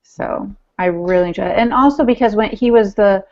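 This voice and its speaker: Female podcast co-host, medium pitch, conversational storyteller, slightly muffled lo-fi internet call sound. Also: a little fast, darkish tone. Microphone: online video call. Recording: dull, lo-fi internet call audio.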